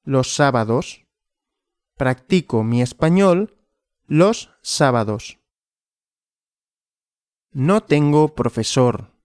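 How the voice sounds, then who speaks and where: A man giving a slow language lesson, asking simple questions and answering them himself in a deliberate, repetitive way. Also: medium pitch, darkish tone, no room sound, clean studio sound. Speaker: Spanish teacher.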